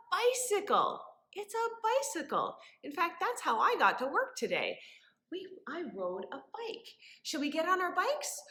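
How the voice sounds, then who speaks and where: A woman leading story time for young children as a librarian, with animated wonder and a sing-song, encouraging way of talking. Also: extremely high pitch. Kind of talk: children's story time with rhymes, questions and songs. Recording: echoey room.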